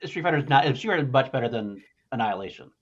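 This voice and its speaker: A man hosts a loose, jokey discussion show. His delivery is quick and very animated, wandering through thoughts as he goes. The sound is a little dull and lo-fi. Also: mid-range voice. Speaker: podcast host. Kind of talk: casual pop culture chat.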